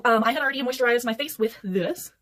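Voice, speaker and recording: A woman's voice: on the high side, fast, a bit bright, a little hiss or hum. A young woman chatting casually through a makeup tutorial, rambling and poking fun at herself, recorded indoors at night. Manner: rambling, chatty, self-deprecating. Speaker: beauty vlogger. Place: indoors under artificial light at night.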